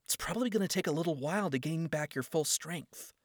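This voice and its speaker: A man voicing a kind but stubborn young demon princess in a lifted, softer voice. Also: a little high, fast talker, dry recording, studio quality, pitched above the performer's own voice, close to an everyday voice. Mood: exasperated.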